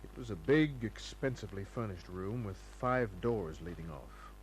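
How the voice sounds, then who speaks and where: An actor playing a cool, wary private detective in an old-time radio crime drama, speaking in a terse, wry, clipped way. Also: male voice, on the low side, expressive, moderate pace, a little hiss or hum, lightly acted, rough recording. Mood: wry, narrating. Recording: old radio recording with faint music.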